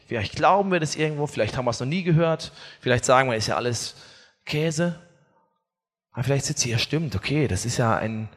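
A man's voice: a little high, breathy edge. Preaching pastor man in echoey hall. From not from Bavaria or Austria. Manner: lively, humorous storytelling preacher. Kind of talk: sermon. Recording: echoey hall, faint music.

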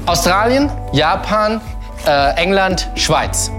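A man, a parent, slowly reciting rhyming spoken-word poetry. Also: sits high, expressive. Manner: slow, rhyming delivery. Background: music.

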